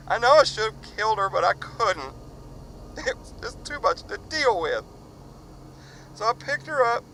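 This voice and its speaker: A haunted father, played by a man, speaks a halting farewell in a high, swooping voice. He talks slowly and drops to a hush at times, with a bright tone and a faint hiss behind him. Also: exaggerated voice, rough recording. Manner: halting, confessional, hushed at times. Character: grieving father leaving a farewell recording. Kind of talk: audio drama.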